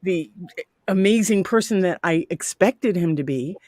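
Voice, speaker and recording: Middle-aged woman with a low soft voice. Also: lively intonation, measured pace, dry recording, studio quality. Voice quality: low soft voice she calls ASMR-like. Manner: heartfelt, rambling, proud. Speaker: parent sharing a story. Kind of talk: casual group chat. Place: home video chat. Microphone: video call.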